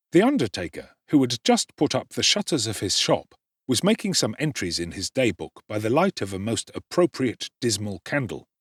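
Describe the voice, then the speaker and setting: A man's voice: on the low side, breathy edge, no room sound, studio quality. A man giving a theatrical, dramatic audiobook narration. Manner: expressive, theatrical.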